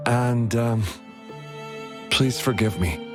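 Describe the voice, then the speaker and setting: A man voicing a gentle, caring, apologetic young man in a video game dub, with music behind him. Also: mid-range voice, takes it slowly, close to an everyday voice. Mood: proud, apologetic.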